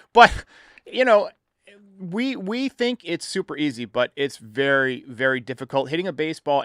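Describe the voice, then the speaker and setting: Male sports podcast host, slightly high voice, dry close sound, conversational and explanatory. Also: a bit bright, dry recording. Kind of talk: casual baseball commentary and call-in talk.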